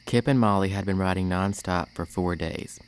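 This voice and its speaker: A man's voice: sits low, normal speed, smooth. A young man narrating a story from a script. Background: music.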